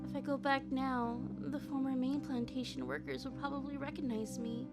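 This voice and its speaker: A woman's voice: high-pitched, fairly even intonation, moderate pace, gravelly. A young woman voicing a frightened, well-bred heiress in a comic dub, with a pleading, hesitant and formal manner. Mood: bitter, resigned. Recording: loud music behind voice.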